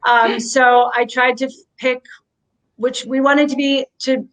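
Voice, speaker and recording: A woman's voice: sits high, little pitch movement, a little slow, a bit bright, low-quality recording. Thoughtful woman explaining her comic. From Japan. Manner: thoughtful, explains with many ums. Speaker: comic book writer. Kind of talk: casual interview.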